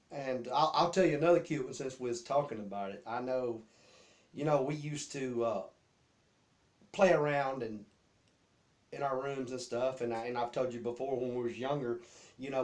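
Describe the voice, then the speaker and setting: A man's voice: medium pitch, darkish tone. A middle-aged man with a Southern US accent casually tells personal stories in a rambling, folksy way, often repeating his words. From Memphis, Tennessee area. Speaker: host telling childhood memories.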